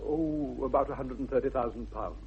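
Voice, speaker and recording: An actor playing a proper, pompous English gentleman guardian with an upper-class accent, formal and indignant, in a 1960s radio comedy. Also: mid-range voice, fairly quick, slight background noise, lightly acted. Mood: dry, curt. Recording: dull vintage radio recording.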